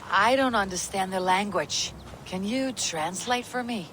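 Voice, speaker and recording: An actress playing a stoic, determined Viking warrior woman in a video game, terse, controlled and measured. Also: mid-range voice, fairly bright tone, slight background noise, lightly acted, poor audio. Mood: questioning, calm. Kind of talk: video game character acting.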